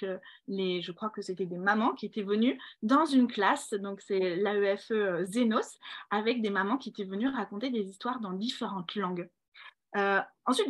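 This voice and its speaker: A woman hosting an award ceremony on a video call, speaking encouragingly to children, with frequent fillers. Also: fairly high pitch. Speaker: ceremony host. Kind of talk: presenting a prize ceremony.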